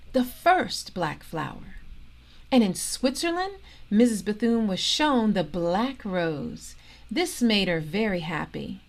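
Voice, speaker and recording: Female storytime reader, mid-pitched, unhurried and expressive, phrasing carefully for young listeners. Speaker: storytime reader and host, organisation president. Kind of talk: reading a children's biography aloud.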